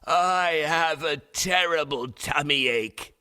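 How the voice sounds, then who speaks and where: A man voicing a greedy storybook wolf who groans slowly and pities himself. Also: fairly high pitch, sharp tone, smooth, voice pushed up, heavily acted. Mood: groaning, self-pitying. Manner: slow, drawn-out groaning lament.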